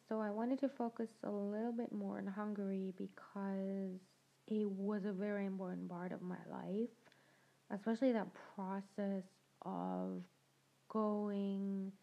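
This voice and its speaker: A woman's voice: mid-range voice, vocal fry. A young adult woman hosting a podcast, telling her own story in a reflective, conversational way and laughing now and then. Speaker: podcast host. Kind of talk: personal storytelling monologue. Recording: dull lo-fi sound.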